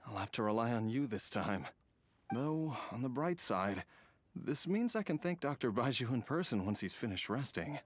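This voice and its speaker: An actor playing a subdued, polite, resigned man in a video game, with a husky voice. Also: mid-range voice, big pitch swings, normal speed. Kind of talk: game voice acting.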